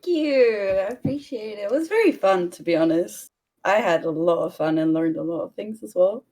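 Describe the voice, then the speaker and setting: A young woman from Bulgaria with a Bulgarian accent, a player giving a relaxed post-game interview and laughing lightly between answers. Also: mid-range voice, fairly quick. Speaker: esports player guest.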